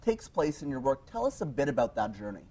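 A young male daytime TV host with a slightly husky voice. He asks friendly questions that wander and restart. He sounds close on a lapel mic in a studio. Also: medium pitch, sing-song, fast, warm tone. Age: young adult. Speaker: TV show co-host. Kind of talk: daytime TV interview. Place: TV studio set.

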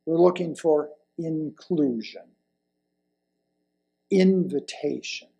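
An elderly man reading a sermon from a lectern, with deliberate, emphatic phrasing and pauses. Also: a little high, gravelly, mellow. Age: older, around 70s or 80s. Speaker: lay presenter reading a sermon. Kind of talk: sermon read from a pulpit. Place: indoors at a wooden lectern in a church hall. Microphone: lapel mic.

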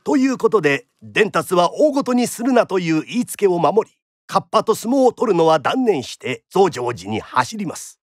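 An actor playing a lively storyteller, narrating a radio drama in theatrical, punchy traditional phrasing. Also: male voice, high voice, normal speed, dry recording, studio quality. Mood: brisk narration.

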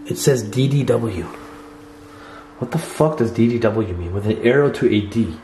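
Excitable young man exploring a dark house. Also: on the low side, normal speed, roomy sound, background noise. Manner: excitable, swears, addresses viewers. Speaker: vlogger. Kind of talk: exploring and narrating to camera. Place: dark abandoned house, indoors. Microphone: handheld camera mic.

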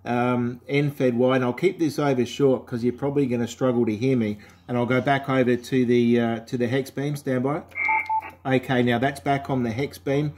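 A man's voice: mid-range voice, level tone, moderate pace, darkish tone, a little hiss or hum. A man with an Australian accent talking casually as an amateur radio operator, with radio sign-off phrasing. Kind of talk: casual ham radio exchange. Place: indoors at a home radio station.

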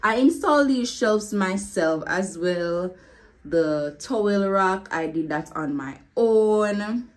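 A woman in her thirties talks to her phone camera at home in an unhurried, easygoing way. She laughs often and keeps her voice at a middling pitch while taking her time over every thought. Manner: relaxed, laughing, slow and easygoing. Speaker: vlogger. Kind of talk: casual chatty vlog. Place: bedroom and bathroom of a home. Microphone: phone camera held close.

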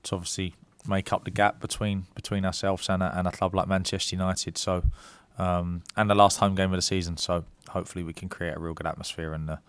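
Man in his 30s, football manager at presser, low smooth voice, dry room, halting ums. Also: little pitch movement, dry recording. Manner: measured, many ums and restarts. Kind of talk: press conference answers. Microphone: desk mic.

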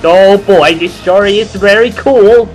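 Man as a high-voiced dopey cartoon creature. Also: takes it slowly, soft background music, poor audio. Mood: hesitant, puzzled. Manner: hesitant, rambling. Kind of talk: amateur comedic cartoon fandub.

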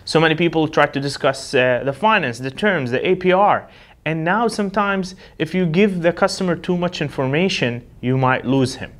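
A man's voice: fairly high pitch, lively intonation, moderate pace, a bit bright. Man giving an emphatic sales training talk. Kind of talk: instructional talk with acted example dialogues. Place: indoor studio with backdrop.

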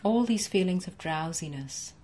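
A young woman coaching a guided tapping session from her home office, speaking in slow, soothing, rhythmic repeated phrases for the listener to echo. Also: lowish voice, animated, warm tone. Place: home office with framed certificates, indoors.

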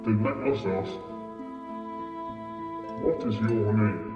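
A man's voice: lowish voice, slow. Guy doing mysterious old man from a fantasy game, solemn n ominous, big reverb, muffled sound. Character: cryptic, solemn, menacing old man from another world. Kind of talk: fan dub game acting. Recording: dull, distant, cavernous reverb under music.

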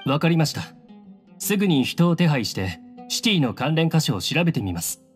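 A man's voice: fairly high pitch, sing-song, a little fast, faint music behind. A man playing a dutiful young aide in an anime game, speaking formally and respectfully. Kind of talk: Japanese anime game voice acting.

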